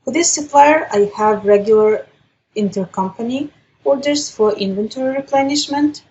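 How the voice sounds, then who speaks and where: Woman presenting a methodical software walkthrough. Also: a little high, moderate pace, muffled recording, slight background noise. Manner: methodical, explanatory. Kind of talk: step-by-step software demonstration.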